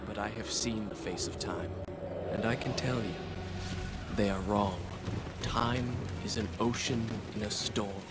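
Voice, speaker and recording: An actor playing a proud, earnest young warrior prince in a video game, intense and solemn in delivery. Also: male voice, lowish voice, steady tone, measured pace, close to an everyday voice. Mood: solemn, reflective. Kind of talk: dramatic video game acting. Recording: very loud music under the voice.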